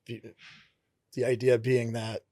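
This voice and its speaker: A man's voice: medium pitch, level tone, a little slow, warm tone, no echo. Pastor, male, Connecticut accent, earnest and reflective, dry close sound. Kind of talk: casual interview talk.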